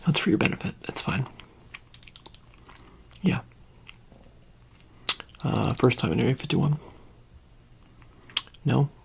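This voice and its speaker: A soft-spoken ASMR roleplayer, sometimes whispering, playing an offhand handler in a hesitant, rambling, casually reassuring way. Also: medium pitch, slow, vocal fry, long pauses, slight background noise. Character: offhand handler preparing an alien for public exposure.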